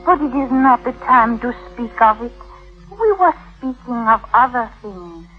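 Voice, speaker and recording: An actress playing a young wife in vintage radio drama, demure and genteel, restrained and coolly scheming. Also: female voice, on the high side, lively intonation, unhurried, faint music behind. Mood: cool, veiled. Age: young adult. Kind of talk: 1950s radio drama acting. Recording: tinny, narrow vintage radio recording.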